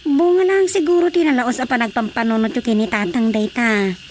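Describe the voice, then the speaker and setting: A woman's voice: on the high side, fairly quick, a little hiss or hum, close to an everyday voice. A woman playing a caring young daughter in a radio drama. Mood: concerned.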